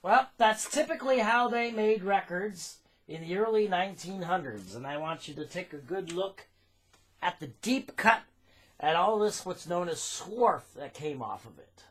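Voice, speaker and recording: A man with an American accent giving an informal technical explanation as a demonstrator, in a deliberate, halting way. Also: high voice, animated, fairly bright tone. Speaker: phonograph recording demonstrator.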